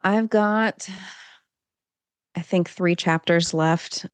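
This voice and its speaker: A woman guest on a podcast, an author and coach, answering eagerly and explaining things in quick lists. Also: fairly low pitch, smooth, no room sound. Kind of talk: casual interview chat.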